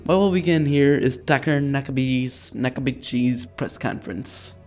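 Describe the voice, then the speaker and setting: A man's voice: mid-range voice, measured pace, a little mellow. A man hosting a let's play, reading a visual novel aloud casually, stumbling over names and swearing offhandedly in his asides. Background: music.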